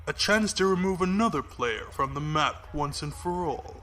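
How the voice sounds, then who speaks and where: An actor playing a cold, calculating fleet commodore in a science fiction audio drama, measured, formal and authoritative. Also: male voice, a little high, lively intonation, a bit bright. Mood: cold, assured.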